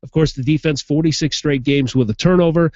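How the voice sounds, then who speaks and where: A man, stuffed up from a sinus infection, giving a casual football recap as a sports radio host who gets animated when something amuses him. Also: fairly high pitch, fairly quick, no room sound, muffled recording.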